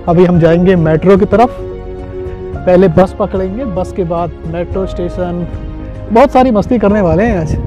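A young man from India chatting to the camera as he walks the streets for his travel vlog, with a phone held at arm's length and an earbud mic. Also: sits high. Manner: chatty, talks straight to the camera while walking. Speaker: vlogger. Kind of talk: casual travel vlog narration. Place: sunny city streets and a bus stop, outdoors. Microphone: wireless earbud mic, phone held at arm's length.

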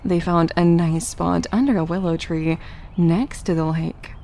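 A woman's voice: lowish voice, steady tone, a little slow, gravelly, dark tone, slight background noise. A woman giving scripted third-person narration for an audio drama.

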